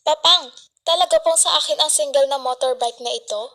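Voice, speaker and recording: A woman's voice: sits high, fairly quick, a bit bright, faint music behind. A woman playing a spirited, cheeky young daughter who loves motorbikes, in an amateur radio drama with a Filipino accent. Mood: pleased, sweet. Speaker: student radio drama actor.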